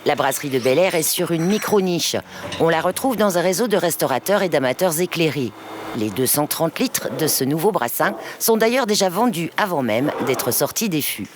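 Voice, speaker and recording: A woman's voice: low voice, normal speed, slight background noise. A woman reporter reading a scripted news report voice-over. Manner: scripted, lively narration. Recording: music bed under the voice.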